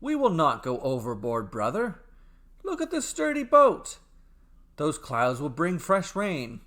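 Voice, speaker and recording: Man playing a hearty, animated, fearless brother. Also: on the high side, a little slow, exaggerated voice. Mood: confident, carefree. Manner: hearty, confident, declamatory. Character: brave, carefree, boisterous brother, ever-optimistic sailor. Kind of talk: dramatic storybook character acting.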